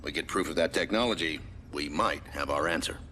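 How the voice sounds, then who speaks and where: An actor playing a stern military commander in a video game, giving formal, measured and authoritative mission briefings. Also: male voice, rumbling low, sharp tone, slight background noise. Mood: grave, authoritative. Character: stern male military commander briefing a special forces soldier. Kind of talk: scripted game cutscene acting and mission guidance.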